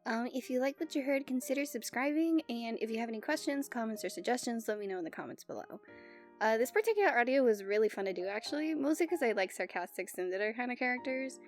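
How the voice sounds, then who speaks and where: High-pitched woman chatting casually. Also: animated, fast talker, faint music behind. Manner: easygoing, says y'all. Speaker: ASMR voice actor, channel host. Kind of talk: casual outro chat to listeners.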